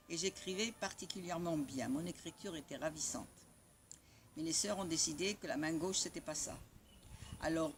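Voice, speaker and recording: A woman whose first language is Italian, telling lively, wry stories about her own schooling. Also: on the low side, a little hiss or hum. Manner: lively, wry, spirited storyteller. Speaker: spiritual community member sharing a testimony. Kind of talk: personal storytelling after a morning talk.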